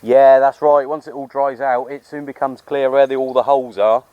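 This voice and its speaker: A man's voice: medium pitch, steady tone, moderate pace, dry recording, highs cut off. A man chatting casually outdoors, with informal, matey slang, like a radio hobbyist making a vlog. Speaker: amateur radio operator and vlogger. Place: outdoors on a hill. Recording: clear outdoor background noise.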